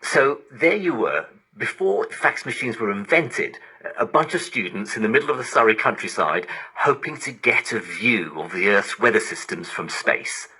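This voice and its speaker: A man's voice: fairly low pitch, expressive, normal speed, few pauses, bright, forceful tone, low-quality recording. A man interviewing a guest in an engaged, articulate way, heard over shortwave digital radio. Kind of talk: broadcast interview. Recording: shortwave digital radio reception, raspy and harsh.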